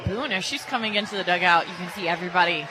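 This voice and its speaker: A woman giving color commentary on a live softball radio broadcast, chatty and quick to laugh. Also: on the low side, noisy background. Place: stadium radio booth.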